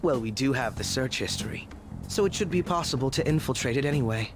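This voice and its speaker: A man's voice: medium pitch, very animated, fast, vocal fry, noisy background. A young man voicing a coolly polite, shrewd detective in a video game, articulate and analytical. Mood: puzzled, reasoning.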